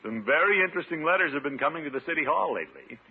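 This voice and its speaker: A man's voice: a little high, lively intonation, racing, breathy edge. A man playing a pompous, self-important middle-aged uncle and town official in a blustery, chuckling 1950s radio comedy style. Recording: muffled, noisy 1950s radio recording.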